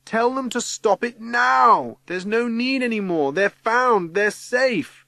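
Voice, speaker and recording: A man's voice: sits high, normal speed, dry recording, clean studio sound, voice pushed up. A man voicing a bold, insistent child with an urgent, commanding manner in a storybook reading. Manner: yelling, urgent.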